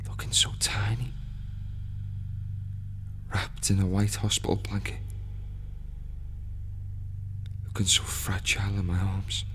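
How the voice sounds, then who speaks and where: A man in an audio play, playing a dead ship's crewman who is a husband and father, brooding and bitter in a slow monologue. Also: on the low side, a little hiss or hum. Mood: tender. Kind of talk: scripted dramatic monologue. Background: music.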